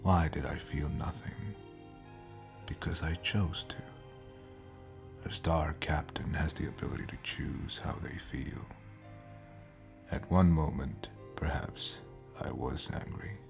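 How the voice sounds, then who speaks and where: A man acting a cold, condescending space pirate captain and father in an audio drama, with a gravelly voice that drops into breathy whispers. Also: a bass voice, over music, exaggerated voice. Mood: cold, detached. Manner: slow, measured, detached, menacing. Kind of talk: scripted audio roleplay drama.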